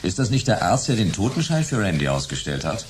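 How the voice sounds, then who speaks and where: Low-voiced, laconic detective. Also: male voice, sing-song, brisk, a little hiss or hum. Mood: suspicious, probing. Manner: laconic, dry, probing. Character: terse, suspicious, hard-boiled male private detective. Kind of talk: radio drama acting.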